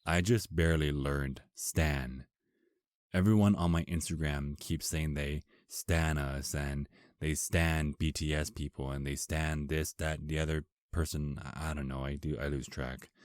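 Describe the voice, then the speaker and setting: A man's voice: a bass voice, fairly even intonation, unhurried, dry recording, studio quality. A man playing an affectionate, teasing vampire husband in an intimate ASMR romance roleplay, murmuring tenderly and flirtatiously. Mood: dreamy, playful.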